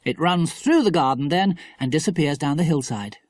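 A woman voicing a sensible, practical mother in a storybook, explaining matter-of-factly and gently firm. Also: low voice, expressive, moderate pace, no room sound. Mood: pleasant, explaining.

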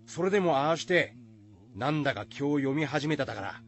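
An actor playing a bold village man in a radio drama, with rough, blunt, folksy speech. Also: mid-range voice, animated, a little fast, a bit bright. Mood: skeptical.

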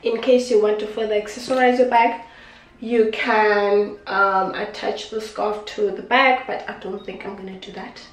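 A woman's voice: mid-range voice, steady tone, normal speed, distant mic. Young female beauty vlogger, stuffy nose, chatty and affectionate; recorded off-mic in an echoey kitchen. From South Africa. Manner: chatty, affectionate, repeats herself. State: congested. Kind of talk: casual unboxing talk to camera. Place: small indoor kitchen.

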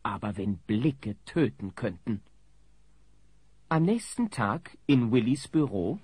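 A man's voice: mid-range voice, animated, slow pace, background noise. A man playing the wry, dramatic narrator of a comedy audio play. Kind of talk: scripted narration.

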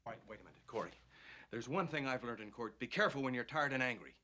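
An actor playing a practical young lawyer husband in a romantic comedy, dry, sarcastic and easily exasperated. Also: male voice, mid-range voice, lively intonation, quick pace, sharp tone. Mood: irritated. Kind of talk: theatrical romantic comedy acting.

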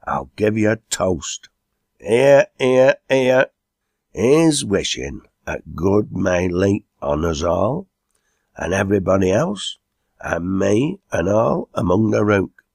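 Man acting an easygoing old Lancashire chairman, gravelly. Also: low-pitched, lively intonation, slow, a little mellow, dry recording, studio quality, exaggerated voice. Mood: convivial.

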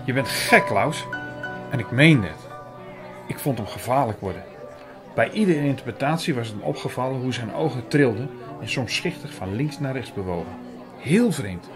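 Guy playing irritated doubter telling his own story in an audio drama, medium pitch, music and people murmuring behind. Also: expressive, normal speed, crowd noise, close to an everyday voice. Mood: wary, reflective. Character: sceptical, irritated male narrator recounting his own story. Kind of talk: first-person audio drama narration and dialogue.

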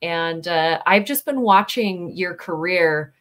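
A woman's voice: on the low side, slow pace, fairly bright tone. A woman hosting a casual online interview over a video call, talking in an upbeat, chatty way from a headset mic at home. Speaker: host. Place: home room with posters.

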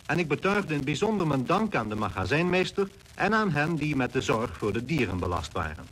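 An actor playing a formal, earnest expedition leader, narrating in a measured way in a radio play. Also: male voice, mid-range voice, fairly bright tone. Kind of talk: scripted radio drama narration. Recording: noisy old radio play recording.

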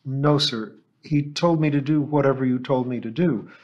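A man's voice: medium pitch, moderate pace, dull audio, pitched above the performer's own voice, lightly acted. A male audiobook narrator voicing a polite, earnest teenage boy, who also recites a solemn memorized message. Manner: respectful, earnest.

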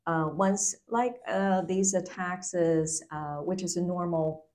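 Female host, middle-aged. Lowish voice, halting phrasing, informal question-and-comment delivery. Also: steady tone, darkish tone. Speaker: host, real estate agent. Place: small office meeting room.

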